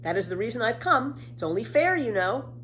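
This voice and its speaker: A feisty storybook little girl, put on by a woman reader, bold and blunt. Her pitch jumps around with emphatic, defiant confidence. There is some background noise. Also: sing-song, fairly quick, fairly bright tone. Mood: bold, cheeky. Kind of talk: children's storybook character voice.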